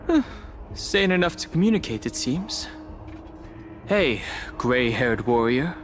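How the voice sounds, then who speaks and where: A man voicing a reserved young warrior companion in a video game, calm, serious and understated. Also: medium pitch, takes it slowly, faint music behind. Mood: wary, calm.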